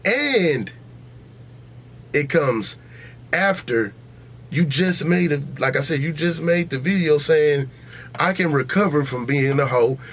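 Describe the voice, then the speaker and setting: A man's voice: fairly high pitch, slow, pauses often, slight background noise. An American man hosting a podcast in a casual, opinionated debate, blunt and profane, repeating himself for emphasis. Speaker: podcast host.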